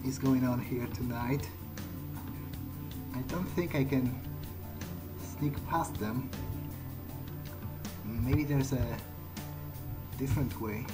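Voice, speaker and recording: A man talking to himself in character as he plays through a video game. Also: medium pitch. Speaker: gamer role-playing a character. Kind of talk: in-character game playthrough commentary, talking to self. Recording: loud game music behind the voice. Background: game music.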